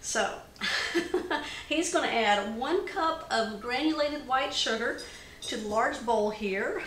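A woman's voice: a little high, slow, fairly bright tone, slight background noise. A woman hosting a home cooking demonstration in her kitchen, chatty and upbeat, talking to viewers as y'all. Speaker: cooking show host. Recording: echoey kitchen.

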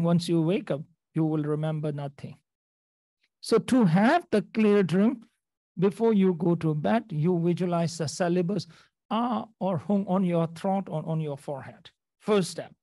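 A man's voice: sits high, warm tone, dry recording. Man from Nepal teaching dharma. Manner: halting, repeats phrases, checks in with "okay?". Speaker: Buddhist teacher. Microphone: video call.

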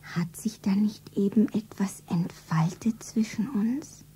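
A woman's voice: mid-range voice, slow pace, dark tone, in a whisper, close to an everyday voice. An actress playing a naive, cheeky young shop girl in a girlish, artless manner in a radio play. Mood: dreamy, confiding. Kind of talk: 1970s German radio play acting. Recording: noisy 1970s radio play recording.

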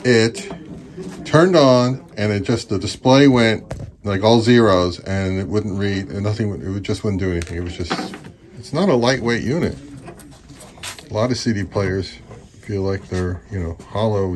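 A man's voice: on the low side, normal speed, a little hiss or hum. A man hosting an audio gear vlog, talking in a casual, informal way. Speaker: host of an audio repair vlog. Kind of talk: casual chat and informal video narration.

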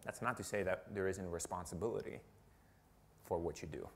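Low-voiced man answering audience questions. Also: brisk, creaky, a little hiss or hum. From Italy. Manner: animated, acts out quotes in shifting voices. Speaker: guest speaker. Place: conference room with audience.

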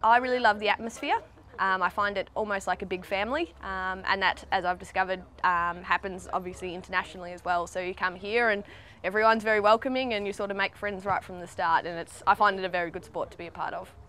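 A woman's voice: medium pitch, steady tone, fast talker, sharp tone. A young woman in her twenties, a rider, speaking in a friendly way with many fillers. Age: 20s. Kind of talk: interview.

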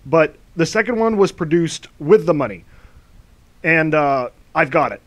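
A man's voice: on the high side, animated, measured pace. A man giving a sarcastic commentary as a video essay host, dry and mocking.

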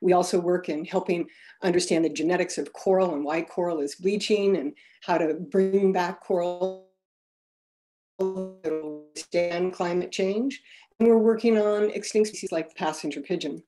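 A woman co-founder of a conservation group giving a measured, polished webinar talk. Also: lowish voice, fairly even intonation, dark tone, soft background music. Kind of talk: webinar presentation.

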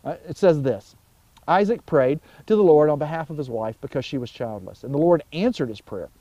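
Male pastor, forties, slightly high, soft-edged mellow voice; conversational Bible teaching with storytelling and pauses for emphasis. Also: animated, no room sound, muffled recording. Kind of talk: informal sermon, explaining scripture. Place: outdoors by a wooded pond.

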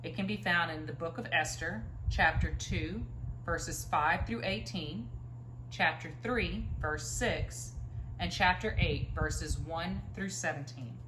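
A woman's voice: on the low side, takes it slowly. A woman in her thirties reads a children's Bible storybook aloud expressively, leaning on key words, recorded indoors a few feet from the camera. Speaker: story reader. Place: living room, indoors, by a stone fireplace. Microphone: camera a few feet away. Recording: roomy echo, faint room noise.

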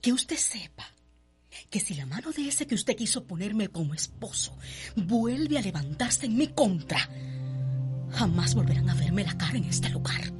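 An actress playing a defiant, wounded young married planter's daughter in a radio soap, with a Cuban accent. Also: female voice, mid-range voice, very animated, unhurried, over music. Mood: indignant. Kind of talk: radio soap opera acting.

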